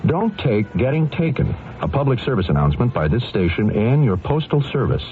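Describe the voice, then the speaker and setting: A man's voice: medium pitch, big pitch swings, brisk. A man reading a scripted public service announcement as a radio announcer. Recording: tinny old radio, faint noise.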